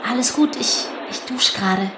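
A young woman with a slightly high voice played by an actress in a naturalistic podcast drama. She is secretive and uneasy, placating others in casual, hesitant speech. A faint noise sits behind her. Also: moderate pace, natural voice. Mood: evasive. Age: young adult. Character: young woman undercover among climate activists, secretive and uneasy. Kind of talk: naturalistic fiction podcast acting.

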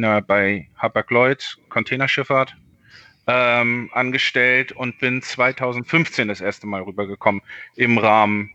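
A man's voice: mid-range voice, pushed and bright, dry recording, dull audio. A man in his thirties from Berlin, a podcast guest on a video call, talking hesitantly with many fillers. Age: 30s. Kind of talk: casual interview.

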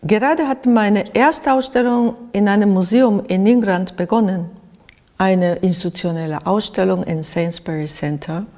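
Older woman, Japanese accent, soft dark tone, halting phrasing, reflective interview talk, long room reverb. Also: medium pitch. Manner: halting, searching for words in non-native phrasing. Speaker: interviewed artist. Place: art studio in Berlin, indoors.

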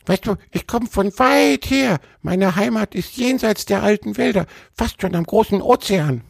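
A man voicing a cheerful, chatty little male woodpecker for a children's storybook, in a creaky, squeezed voice with bubbly, colloquial delivery. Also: sits high, animated, unhurried, no echo, studio quality, voice pushed up, full character voice. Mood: chatty, enthusiastic.

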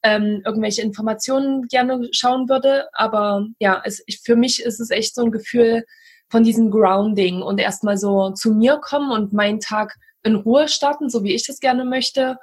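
A woman in her thirties, originally from Saxony-Anhalt, speaks as a guest in a relaxed conversation. Her voice is husky and sits a little high, and she reflects on her experiences in a measured, thoughtful way. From Saxony-Anhalt, Germany. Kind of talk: casual conversation.

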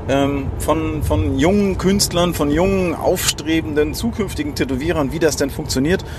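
A man who runs a tattoo studio, hosting a relaxed talk and rambling through restarts and fillers. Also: fairly high pitch, normal speed, noisy background. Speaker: tattoo studio owner and host. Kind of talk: casual podcast conversation.

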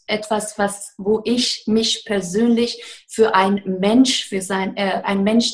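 A woman, a defense lawyer giving earnest, hesitant interview answers full of fillers. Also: medium pitch. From Afghanistan.

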